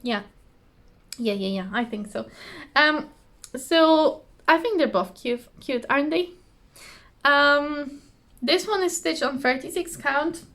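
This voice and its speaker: A woman with a slightly hoarse voice, chatting casually to camera at home in a rambling, giggly, self-deprecating way. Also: high-pitched, slow, a bit bright. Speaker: cross-stitch vlogger. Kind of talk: casual chatty craft vlog. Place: indoors at home, trees outside behind her.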